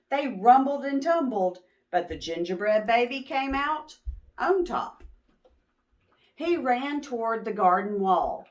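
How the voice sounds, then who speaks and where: A woman reading a picture book aloud to young children as host, expressive and pausing to ask questions. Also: mid-range voice.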